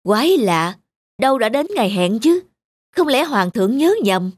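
A woman's voice: on the high side, big pitch swings, moderate pace. A woman voicing a strict, fretful middle-aged master carpenter in a cartoon folk tale, with a dramatic, exclaiming delivery. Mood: worried. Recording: music behind the voice.

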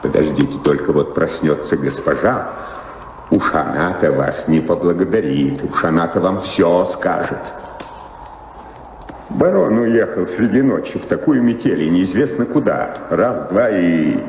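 Актёр радиоспектакля играет ворчливого, возмущённого слугу, который строго и с нажимом отчитывает собеседника. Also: male voice, deep voice, lively intonation, darkish tone. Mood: indignant scolding. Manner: scolding, emphatic, deliberate. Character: grumbling, indignant household servant man, protective of order. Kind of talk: radio drama acting. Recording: echoey hall, faint noise.

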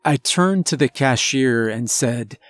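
A man voicing a remorseful werewolf husband and father confessing in a grave, halting, emotional horror story. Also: medium pitch, lively intonation, fairly bright tone, no echo. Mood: grim, intense. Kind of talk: dramatic first-person horror storytelling. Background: background music.

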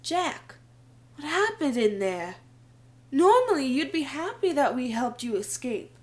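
Girl doing a earnest kid in a newsboy disguise, kinda high voice. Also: big pitch swings, a little slow.

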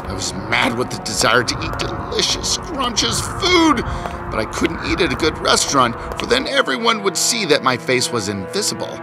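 A man playing an arrogant invisible scientist in a cartoon, telling his own story in a theatrical, boastful, scornful way. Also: on the high side. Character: arrogant invisible male scientist, gloating and restless. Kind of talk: dramatic first-person storytelling in a cartoon.

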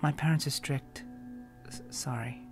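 A man's voice: fairly high pitch, flat delivery, fairly quick, creaky, over music, voice pushed up. A man doing a stammering shy teen girl. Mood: timid. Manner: stammering, hesitant.

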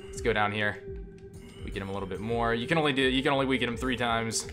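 A man giving casual, profane gameplay commentary, enthusiastic but halting, often restarting his phrases. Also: medium pitch, flat delivery. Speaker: gamer and game reviewer. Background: game music and sound.

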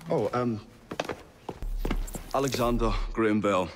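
An actor playing an earnest, excitable young Victorian gentleman inventor in a game, well-spoken and politely stammering. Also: on the low side, takes it slowly, slight background noise. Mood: eager, flustered. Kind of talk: dramatic game cutscene acting.

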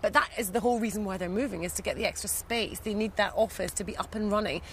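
A woman's voice: mid-range voice, fast talker, fairly bright tone, a little hiss or hum. A woman in her thirties presenting a home-moving show, chatty and teasing with the family, recorded on a lapel mic in and around a house. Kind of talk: lifestyle TV presenting.

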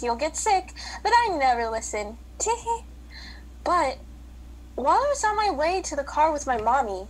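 A woman's voice: sits high, a little hiss or hum, low-quality recording. A woman playing a nervous, chatty little cookie girl in a children's radio play. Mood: chatty narrating.

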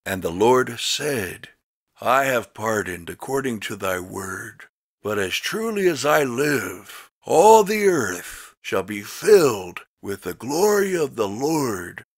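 A man reading scripture as a narrator in a solemn, dramatic style, with measured, emphatic, declamatory delivery. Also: medium pitch, a bit bright, no room sound, studio quality. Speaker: Bible narrator.

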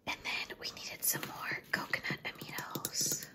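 A woman giving a whispered ASMR grocery haul, speaking in a soft, unvoiced whisper at her kitchen counter. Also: a little slow. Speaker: ASMR creator. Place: home kitchen counter indoors.

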